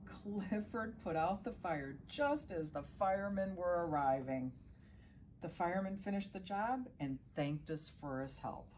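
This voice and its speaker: A woman's voice: on the low side, unhurried, a little mellow, a little hiss or hum, poor audio. A middle-aged woman reading a children's storybook aloud as a guest reader, now and then stumbling and correcting herself.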